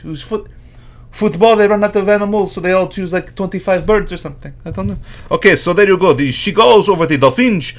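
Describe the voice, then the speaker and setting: A man's voice: on the high side, lightly acted. A man playing a chatty, clueless Azorean Portuguese immigrant with a Portuguese accent and broken English, laughing often as he gets sidetracked. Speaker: podcast guest. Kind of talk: comedy character monologue.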